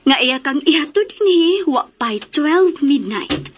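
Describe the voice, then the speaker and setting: A woman's voice: sits high, animated, unhurried, background noise. A woman acting a protective, middle-aged mother in a radio drama, firm and admonishing. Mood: stern.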